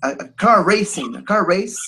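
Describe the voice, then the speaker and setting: A man in his thirties from Brazil with a Brazilian Portuguese accent, speaking English as a second language on a video call, halting and hesitant, with many fillers. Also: high voice, expressive, sharp tone. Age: 30s. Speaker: caller. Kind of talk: casual chat.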